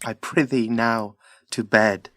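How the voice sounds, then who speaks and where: An actor playing a tender, earnest young Trojan lover in a Shakespearean audio drama. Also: male voice, medium pitch, fairly even intonation, slow pace, sharp tone.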